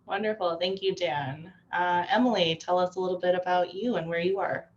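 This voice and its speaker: A woman hosting an online panel discussion over a video call, friendly and quick to laugh. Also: lowish voice, steady tone, highs cut off, slight background noise. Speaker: panel host.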